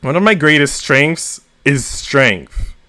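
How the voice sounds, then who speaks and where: A man reading first-person short stories aloud as host, with expressive emphasis on the narrator's exasperation. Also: fairly high pitch, slow pace, no echo. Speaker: story reader and host.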